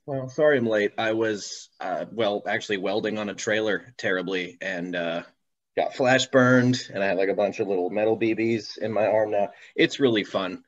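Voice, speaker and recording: A man's voice: lowish voice, normal speed. A man telling casual, rambling anecdotes with self-deprecating humor as a guest on a video call. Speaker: guest, car enthusiast and YouTuber. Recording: slightly dull video call audio.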